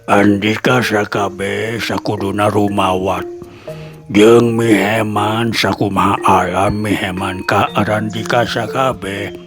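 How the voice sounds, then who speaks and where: Male narrator doing an elderly hermit master, low-pitched, solemn, unhurried, sermonizing, audio folktale. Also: level tone. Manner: slow, grave, sermonizing delivery. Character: wise old male hermit teacher, solemn. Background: faint music.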